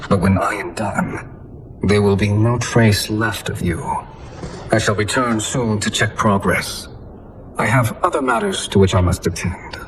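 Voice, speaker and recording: A man's voice: on the low side, lightly acted. A man playing a cruel, gloating sorcerer in a video game, speaking formally and with menace. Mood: smug. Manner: formal, menacing, deliberate wording. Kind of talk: dramatic video game acting. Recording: noisy game cutscene ambience.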